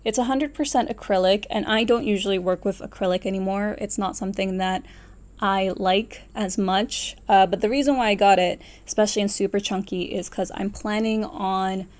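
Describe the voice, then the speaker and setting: A woman's voice: mid-range voice, fairly even intonation, normal speed, a little mellow. A woman in her twenties chatting casually to camera as a vlogger, rambling and correcting herself as she goes. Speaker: knitting vlogger. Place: small furnished room at home, indoors.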